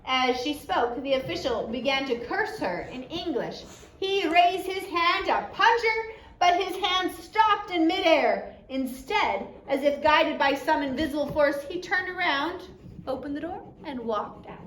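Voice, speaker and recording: A woman's voice: high voice, expressive, a little slow, a bit bright. A woman narrating a story aloud as an audiobook reader. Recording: roomy echo, faint background noise.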